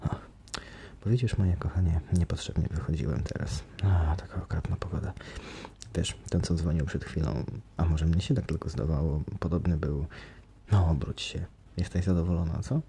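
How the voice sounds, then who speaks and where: Guy doing a creepy monster man, low dark growly voice, cold and tender. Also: creaky, a little hiss or hum. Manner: low, brooding, coldly tender. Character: menacing masked male monster, brooding and unhinged. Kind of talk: audiobook character voice.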